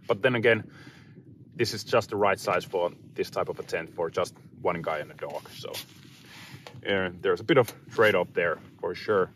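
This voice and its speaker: A man in his thirties chatting casually and reflectively to camera, unhurried, speaking in short phrases with pauses. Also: lowish voice, a little hiss or hum. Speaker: outdoor vlogger. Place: inside a small tent and outdoors in snowy woods.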